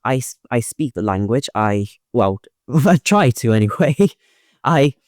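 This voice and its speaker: A man's voice: medium pitch, animated, a little slow, no echo, studio quality. A young man from the Welsh borders, a podcast guest explaining things earnestly and laughing often. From Welsh borders (Shropshire and Powys). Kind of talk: casual interview talk.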